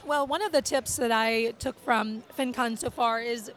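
A woman appearing as a podcast guest, chatting casually and eagerly, her voice hoarse and strained. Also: fairly high pitch, normal speed. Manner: animated, agreeable, lots of "right". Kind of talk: casual conversation.

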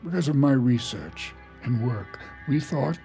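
Slow, mellow, protective doctor. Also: male voice, mid-range voice, animated, gravelly, faint music behind. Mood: grave, gentle. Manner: measured, gentle, deliberate. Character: calm, grave, protective doctor and long-lost father. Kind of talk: naturalistic audio fiction acting.

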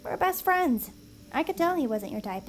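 A woman's voice: high-pitched, big pitch swings, normal speed, slight background noise. An actress playing a bossy, earnest, chatty young woman, a nerdy best friend, in a naturalistic audio drama. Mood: chatty, excited.

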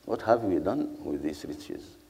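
A man speaks on a panel in a low, dark, mellow voice. He is earnest and rhetorical and builds his case in repeated lists. His words ring out with the long reverb of a large hall. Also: lively intonation, unhurried, vocal fry. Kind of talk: formal panel speech.

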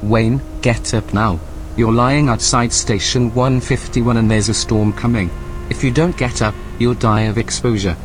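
An actor playing a calm artificial intelligence guide in an audio drama, speaking in a measured, matter-of-fact, commanding way. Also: male voice, mid-range voice, background noise, lightly acted. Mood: urgent, insistent.

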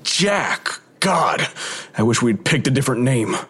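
A man's voice: mid-range voice, big pitch swings, unhurried, a bit bright, slightly rough, exaggerated voice. An actor playing a prim, worried father, formal and fussy, in an audio drama. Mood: anxious.

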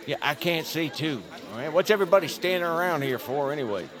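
An actor playing a laid-back, clueless bystander in a comic audio drama, casual and easygoing. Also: male voice, fairly high pitch, expressive, quick pace, music in the background, natural voice. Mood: casual, cheerful. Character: laid-back, clueless bystander who joins any crowd. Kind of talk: acted comic audio fiction.

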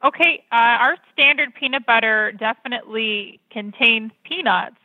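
A woman's voice: on the high side, normal speed, no echo. Patient woman on a thin phone line. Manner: patient, explanatory. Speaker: customer service representative. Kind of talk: polite scripted phone service talk. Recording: thin, narrow telephone line.

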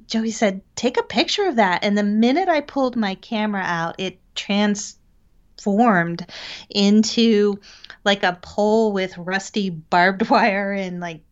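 An American woman recounting personal experiences conversationally as an interview guest, descriptive and pausing between phrases. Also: mid-range voice, muffled recording. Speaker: podcast guest, paranormal investigator.

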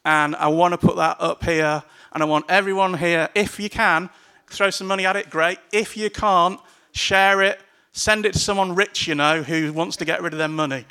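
A man's voice: high-pitched, fairly even intonation, moderate pace, sharp tone, smooth. A man speaking casually and loosely to a packed meeting room, urging the crowd with some swearing and laughs. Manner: loose and chatty, begging with laughs. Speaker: panel speaker at a political event.

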